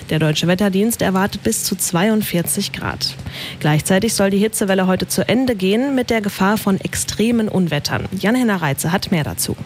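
Woman, radio newsreader, steady crisp delivery, faint music bed. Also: mid-range voice. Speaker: news presenter. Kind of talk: radio news reading. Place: radio studio.

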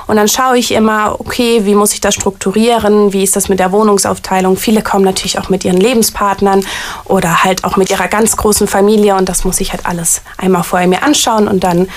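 A woman's voice: mid-range voice, flat delivery, fast talker, a little hiss or hum. Chatty woman in an interview. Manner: chatty, eager, frequent fillers like "tatsächlich". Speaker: artist liaison at a variety theater. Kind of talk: casual interview answers.

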